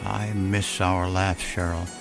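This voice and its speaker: A man's voice: sits low, level tone, takes it slowly, gravelly, heavily acted. A man voicing an elderly, grieving friend in an audio story, speaking tenderly and plainly at a graveside. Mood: wistful. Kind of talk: dramatic audio story reading. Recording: music behind the voice.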